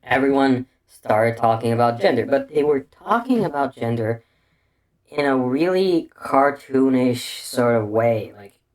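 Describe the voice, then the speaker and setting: Slow, rambling man with an odd accent. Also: mid-range voice, animated, darkish tone. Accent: unusual non-native English accent. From Bulgaria. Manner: meandering, crude, full of restarts and fillers. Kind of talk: casual rambling commentary monologue.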